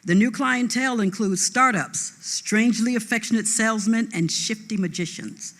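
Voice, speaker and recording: A man's voice: high voice, moderate pace, a bit bright. A man presenting on a theatre stage, reading a scripted synopsis of a nominee. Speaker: award presenter. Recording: reverberant hall.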